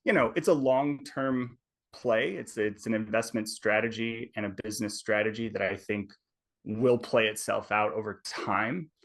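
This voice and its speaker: A man's voice: lowish voice, normal speed, a bit bright. A man being interviewed as a tech executive, explaining at length over a video call and often checking in with "right?". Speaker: tech company executive guest. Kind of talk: conversational explaining.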